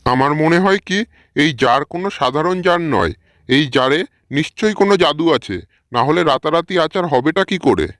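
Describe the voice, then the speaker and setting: A man's voice: on the high side, lively intonation, normal speed, fairly bright tone, no room sound, clean studio sound. A man voicing a humble, hard-pressed village farmer in a cartoon, plain and earnest. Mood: wondering.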